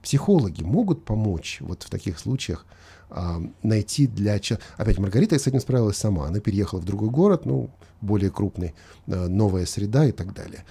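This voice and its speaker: A man's voice: on the low side, lively intonation, a little fast, gravelly, warm tone, slightly rough. A man hosting a conversational talk show, questioning and arguing his points and acting out imagined remarks. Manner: argumentative, questioning, acts out imagined quotes.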